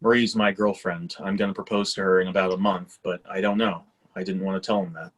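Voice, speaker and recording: Man reading as resentful grown son, lowish voice, understated, guarded, play reading. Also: fairly even intonation, a little fast, a bit bright. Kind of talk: readers theatre monologue and dialogue.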